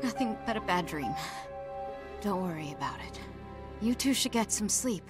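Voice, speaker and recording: A woman's voice: medium pitch, expressive, moderate pace, over loud music, lightly acted. A woman playing an aloof, brusque young soldier in a video game, speaking in terse phrases. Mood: guarded. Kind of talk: English game voice acting.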